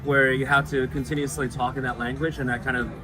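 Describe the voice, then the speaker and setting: A man from the United States, a guest chatting casually in an interview, relaxed and full of fillers. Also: medium pitch, fast talker, over music, rough recording. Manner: relaxed, frequent fillers and laughs.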